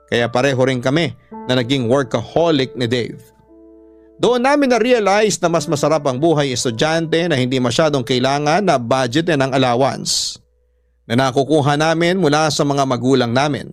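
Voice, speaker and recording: A man with a Filipino accent reading a scripted first-person story as a radio drama narrator. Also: a little high. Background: background music.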